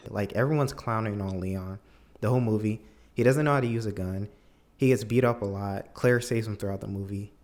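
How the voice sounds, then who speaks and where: A man's voice: lowish voice, fairly quick, a little mellow. A man giving a casual, opinionated film review as a video commentator, with an emphatic, incredulous, conversational manner.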